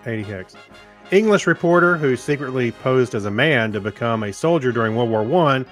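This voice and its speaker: A man with a mid-pitched voice co-hosts a casual podcast. He is low-key, reading short items and dropping in brief remarks, with faint music behind him. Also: expressive, moderate pace. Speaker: podcast co-host.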